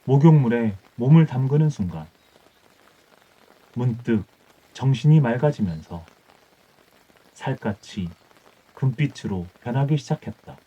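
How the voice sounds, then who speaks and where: A man's voice: medium pitch, expressive, a little slow, long pauses, mellow, husky. A man reading an old folktale as scripted bedtime audiobook narration. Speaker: audiobook storyteller. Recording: dull muffled audio with music.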